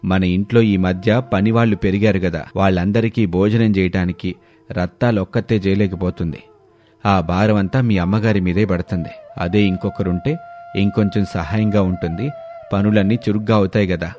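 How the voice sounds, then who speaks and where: A man playing a kind, patient village landlord in a storybook tale, with measured, authoritative delivery. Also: low-pitched, fairly even intonation, darkish tone, close to an everyday voice. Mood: requesting. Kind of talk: storybook voice acting.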